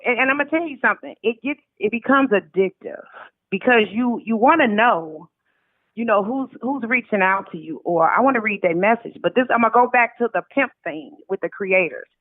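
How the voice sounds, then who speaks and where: A woman's voice: mid-range voice, no room sound. American woman on a tinny phone line. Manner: candid, emphatic, colloquial. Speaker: interview guest, author. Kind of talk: casual conversational storytelling. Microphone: phone call.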